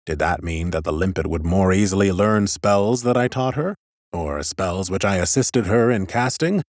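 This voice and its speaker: A man's voice: deep voice, normal speed, dry recording, studio quality, lightly acted. A man playing an ancient, scholarly lich who muses in a precise, pompous and clinically curious way, as in a first-person fantasy audiobook. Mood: curious, calculating.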